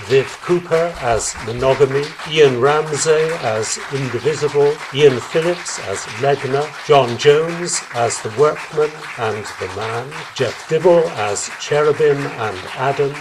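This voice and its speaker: A man's voice: mid-range voice, unhurried, hardly any pauses, crowd noise, background noise. A man giving a scripted announcement as the announcer of an audio drama. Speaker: announcer reading credits.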